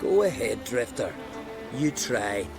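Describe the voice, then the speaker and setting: An actor playing a surly, coarse island brawler in a video game, gruff and scornful. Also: male voice, lowish voice, very animated, takes it slowly, loud music behind the voice, full character voice. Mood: mocking, defiant. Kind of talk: video game cutscene acting.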